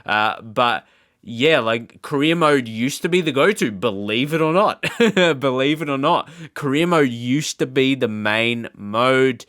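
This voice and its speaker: Clear-voiced man rambling through casual commentary. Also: mid-range voice, lively intonation, fairly bright tone, no echo, studio quality. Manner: rambling, halting, informal. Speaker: gaming channel host. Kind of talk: casual off-the-cuff reaction commentary.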